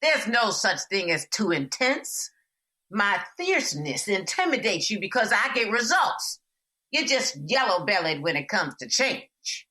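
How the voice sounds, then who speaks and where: A woman's voice: medium pitch, sing-song, unhurried, sharp tone. A woman playing Anger as a personified emotion in an audio drama, fierce, rebellious, brash and taunting.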